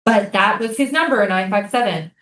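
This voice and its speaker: A woman's voice: mid-range voice, moderate pace, a bit bright, slight background noise, close to an everyday voice. A woman playing an earnest, insistent American soldier and interrogator in a staged reading of a drama. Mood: accusing, tense. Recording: roomy, echoey live stage reading.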